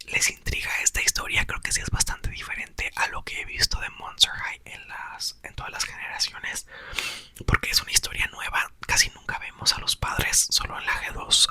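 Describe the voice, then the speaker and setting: Young man whispering ASMR reading. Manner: soft, whispered throughout. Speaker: ASMR book reader and channel host.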